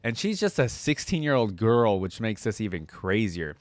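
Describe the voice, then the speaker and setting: A man's voice: lowish voice, very animated, normal speed, a little mellow, no room sound, clean studio sound. A man hosting a reaction video, reading story lore aloud and breaking into casual commentary.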